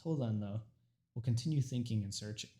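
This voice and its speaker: A young man whose first language is Urdu gives a prepared informative speech, earnest and mostly steady, with a few stumbles and restarts as he reads. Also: fairly low pitch, fairly quick. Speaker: student speaker.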